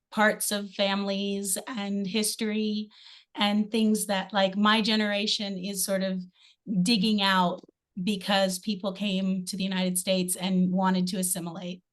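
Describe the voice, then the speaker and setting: A hoarse woman taking part in an online discussion, speaking hesitantly with many fillers. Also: medium pitch, fairly bright tone. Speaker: participant. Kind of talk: discussion question.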